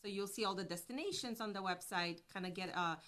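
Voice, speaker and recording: Woman, Polish accent, chatty interview guest, bright clear tone, friendly conversational delivery. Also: mid-range voice, fast talker. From Poland. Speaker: guest, travel business owner. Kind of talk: casual interview chat.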